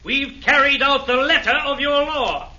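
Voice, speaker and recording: An actor playing a hotheaded veteran demon with a brash, quarrelsome manner in a 1940s radio play. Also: male voice, very high-pitched, a little slow, bright, forceful tone, lo-fi sound, full character voice. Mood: boastful.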